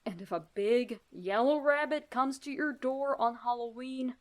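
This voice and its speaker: Female horror narrator, slightly high pitch, very unhurried, suspenseful and expressive first-person read.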